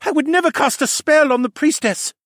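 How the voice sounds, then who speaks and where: A man's voice: extremely high pitch, a little fast, a bit bright, dry recording, studio quality, voice pushed up. A man voicing a haggard old demi-human mage in a fantasy audiobook, frightened, pleading and insistent. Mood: fearful, insistent. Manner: stuttering, desperate, insistent.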